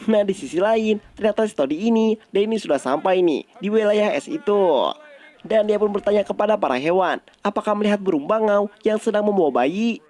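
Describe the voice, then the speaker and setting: A man's voice: sits high, a little fast, a little mellow. A man with an Indonesian accent narrating a story recap in an informal, scripted style.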